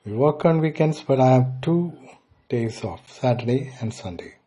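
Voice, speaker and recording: A man giving an instructional language lesson as a teacher, deliberately sounding things out piece by piece. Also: mid-range voice, expressive, warm tone. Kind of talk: slow instructional lesson with repetition.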